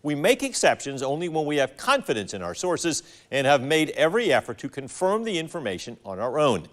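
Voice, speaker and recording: A man's voice: on the high side, very animated, a little fast. A man with an American accent anchoring a local TV newscast, reading a script formally. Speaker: TV news anchor. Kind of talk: scripted local news broadcast delivery.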